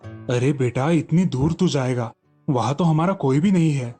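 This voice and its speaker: A man's voice: medium pitch, moderate pace, dark tone, slightly rough, soft background music, lightly acted. A man voicing a protective village farmer father in a children's story. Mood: worried. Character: protective village farmer father, fretting over his son.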